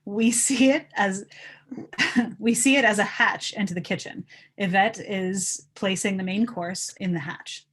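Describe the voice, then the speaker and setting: A woman's voice: mid-range voice, unhurried, a bit bright, slightly rough. A woman reading stage directions as narrator in a casual live table read.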